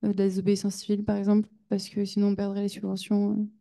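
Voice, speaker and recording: A young woman talks casually in a flat, tired way, filling her pauses with hesitations. Her voice is gravelly with fry. Her tone is very dark and even, with little rise or fall. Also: mid-range voice. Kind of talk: casual Q&A exchange.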